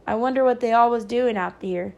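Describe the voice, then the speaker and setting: A man's voice: very high-pitched, fairly quick, gravelly. A woman voicing an earnest, slightly puzzled country boy in an audiobook. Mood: earnest, matter-of-fact. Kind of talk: audiobook character dialogue.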